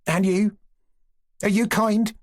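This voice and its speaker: A man putting on a bold, sharp-tongued young Cossack woman. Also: high-pitched, fairly even intonation, slow pace, pitched above the performer's own voice. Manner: sharp, scolding.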